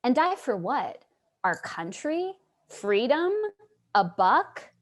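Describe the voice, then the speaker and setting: A woman's voice: fairly high pitch, big pitch swings, takes it slowly. A woman acting a compassionate, earnest writer who listens and narrates in a staged play reading. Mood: earnest, indignant. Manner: earnest, sympathetic. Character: compassionate, earnest writer interviewing homeless people, narrating to audience. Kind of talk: dramatic dialogue and monologue in a staged play reading. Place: online video call reading.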